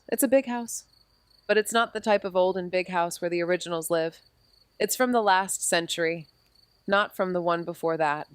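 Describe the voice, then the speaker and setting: A woman's voice: fairly low pitch, clear, resonant tone, dry recording, close to an everyday voice. A woman playing a wry young investigator. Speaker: narrator and investigator. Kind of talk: noir audio drama narration.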